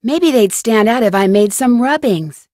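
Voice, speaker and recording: A young woman with an American accent playing a curious, upbeat teenage girl detective in an adventure game, speaking in a clear, inquisitive way. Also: fairly high pitch, lively intonation, a little slow, warm tone, dry recording, studio quality. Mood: musing. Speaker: video game voice actor. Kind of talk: scripted adventure game lines, thinking aloud.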